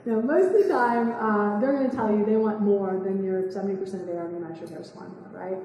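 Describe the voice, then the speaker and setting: Lady teaching a seminar, soft mellow voice, recorded from across a big echoey room. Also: mid-range voice, far from the mic. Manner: quick, chatty, asks the room questions. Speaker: real estate investing speaker. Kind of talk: live seminar talk to a small audience. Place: meeting room, indoors. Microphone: far camera.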